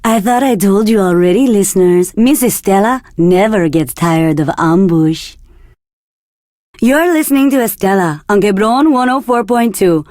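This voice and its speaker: A woman's voice: medium pitch, animated, moderate pace, a little mellow, no room sound, studio quality, close to an everyday voice. An actress playing a flirty, confident woman radio DJ in a video game, with a seductive, teasing delivery. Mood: flirty, upbeat. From Argentina. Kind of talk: scripted game radio DJ patter.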